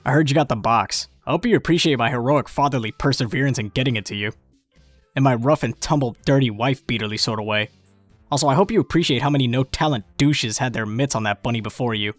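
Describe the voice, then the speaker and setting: A man playing a cool, cocky, sardonic teenage boy with deadpan, slangy delivery in a fan webcomic dub. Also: mid-range voice, fast talker, dry recording, faint music behind, close to an everyday voice. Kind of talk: fan comic dub acting.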